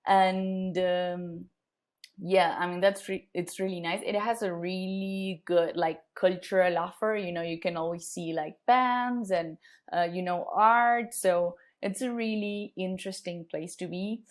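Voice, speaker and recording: A young Argentine woman, Spanish-speaking by birth, talks through an English podcast interview in a loose, friendly way. She laughs between phrases and often restarts with fillers. Her voice sits a little low. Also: steady tone, a little slow. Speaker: guest.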